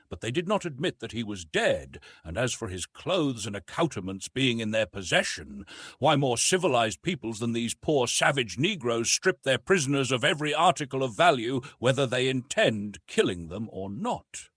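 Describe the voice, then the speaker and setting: A man's voice: mid-range voice, very animated, moderate pace, fairly bright tone, slightly rough, no echo. A man voicing a determined, proud young American woman from the South who argues in a formal, insistent way, as in an audiobook adventure story. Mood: firm, reasoning.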